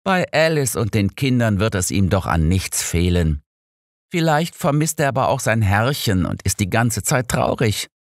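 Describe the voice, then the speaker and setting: A man's voice: on the low side, big pitch swings, moderate pace, no room sound, clean studio sound, voice pushed up. A man voicing an irritable, sarcastic old detective in an audiobook, curt and condescending. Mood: conciliatory. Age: older. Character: irritable, sarcastic old male detective, imperious know-it-all. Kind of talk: audiobook character dialogue.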